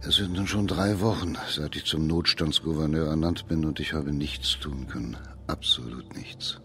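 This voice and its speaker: A low male voice gives us a grave imperial judge burdened by office. The delivery is measured and commanding, in radio-play style, over clear background noise. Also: bright, forceful tone. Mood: somber, weary. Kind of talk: German radio play acting.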